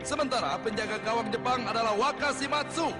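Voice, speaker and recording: Excited cartoon football commentator, very high voice, long reverb, dramatic match calls. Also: male voice, fast talker. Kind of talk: animated sports commentary, dubbed cartoon. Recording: long stadium reverb, music and crowd behind.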